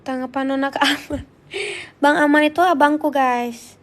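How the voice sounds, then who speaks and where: A woman's voice: sits high, fairly even intonation, moderate pace. A young woman with a voice congested by flu, chatting casually and informally on a livestream as she answers viewers. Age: young adult. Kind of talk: casual chat.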